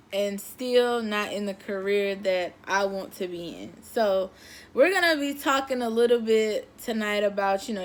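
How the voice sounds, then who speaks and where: A woman in her late twenties chatting casually and personally to her viewers as a video blogger, emphatic and repeating phrases for stress. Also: mid-range voice. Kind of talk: casual confessional chat to viewers.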